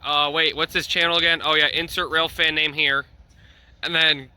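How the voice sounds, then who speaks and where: A man's voice: fairly high pitch, little pitch movement, moderate pace, pushed and bright, clear, resonant tone. A young man chatting casually to the camera and his friends as a railfan vlogger. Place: outdoors near train tracks. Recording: outdoor noise, passing vehicles.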